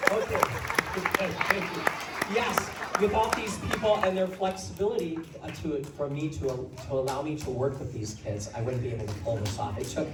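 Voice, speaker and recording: A man's voice: fairly high pitch, normal speed, very noisy. Man talking over an echoey school hall. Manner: rambling, calls names out loudly. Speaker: school drama director. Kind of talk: public thank-you speech. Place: school auditorium. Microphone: handheld microphone through PA, recorded from the audience. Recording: echoey hall from far off with crowd.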